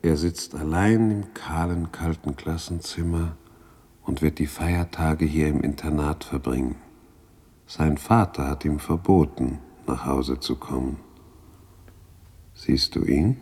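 A man voicing a grave, knowing spirit guide with a measured, solemn delivery in a 1960s German radio drama. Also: extremely low pitch, dark tone, slightly rough, exaggerated voice.

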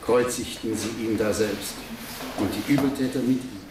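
A man's voice: lowish voice, fairly even intonation, moderate pace, close to an everyday voice. A man playing a solemn reciter of scripture in a radio play. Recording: very echoey, distant, noisy.

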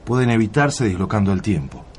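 A man's voice: lowish voice, sing-song, brisk, darkish tone, a little hiss or hum. An actor with an Argentine accent playing a weary, grave time-travelling family man in a radio drama. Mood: grave, solemn. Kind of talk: Spanish-language radio drama acting.

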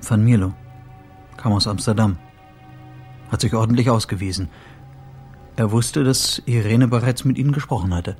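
An actor playing a sober, bereaved husband in a radio play, speaking in a subdued, matter-of-fact and slightly halting way. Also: male voice, lowish voice, darkish tone, soft background music, natural voice. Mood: sober, informative. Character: bereaved husband, a sober man reporting his wife's death.

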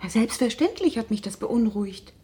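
A worried, wealthy mother in middle age in a radio play. Her voice is very husky and soft-edged, and she speaks with formal reserve. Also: on the high side, expressive, moderate pace. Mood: indignant. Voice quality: husky, breathy. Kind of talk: naturalistic German radio drama.

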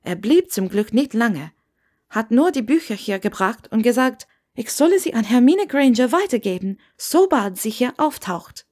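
A woman's voice: on the high side, very animated, moderate pace, darkish tone. A woman voicing a talkative, inquisitive female innkeeper who spooks easily, in an audiobook story. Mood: spooked, dramatic.

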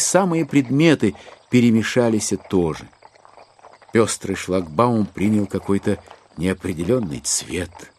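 A man's voice: fairly low pitch, a little mellow, husky, heavily acted. A man acting as the wry narrator of a classic novel in a dramatised audiobook.

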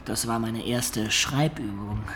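A man's voice: fairly low pitch, slow, background noise. An actor playing a roguish man of peasant birth, narrating his life colloquially and reflectively in a radio play.

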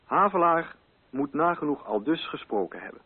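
A man's voice: on the high side, big pitch swings, normal speed, husky, narrow, tinny audio. A man narrating a literary radio drama from a script. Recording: band-limited radio recording.

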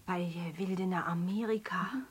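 Husky, halting immigrant mother. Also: on the low side, fairly even intonation. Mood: weary, halting. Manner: halting, broken grammar with foreign word order. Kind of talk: Dutch radio play acting.

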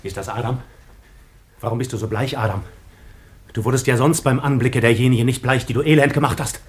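A man's voice: medium pitch, steady tone, a little fast, close to an everyday voice. A man acting in a radio drama as a tormented, vengeful outcast son, bitter and intensely declamatory. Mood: bitter scorn.

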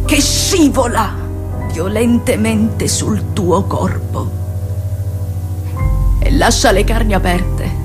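An actress playing an anguished woman recounting her violent trauma in a dramatic, emotionally charged theatrical monologue full of pauses. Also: on the high side, full character voice.

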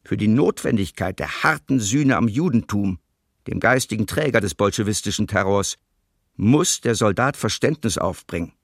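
Low, stern German field marshal. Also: male voice, lively intonation, normal speed, vocal fry, no room sound, studio quality. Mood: cold, stern. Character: stern, ruthless German field marshal issuing a military order. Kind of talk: formal read proclamation in radio play.

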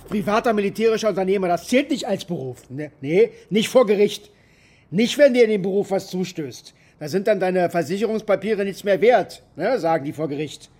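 An actor playing a bitter, maimed former military contractor who rambles coarsely and defensively in a naturalistic radio drama monologue. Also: male voice, high-pitched, darkish tone. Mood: angry, accusing. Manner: coarse, defensive, agitated rambling with rhetorical questions.

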